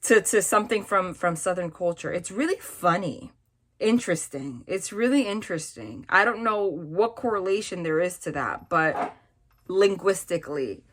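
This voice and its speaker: A woman's voice: on the low side, lively intonation, moderate pace. A woman with a New York accent, chatting casually and rambling through a tarot reading at a table, with many restarts and asides. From New York / New Jersey area. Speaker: tarot reader. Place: indoors at a table, hands over cards.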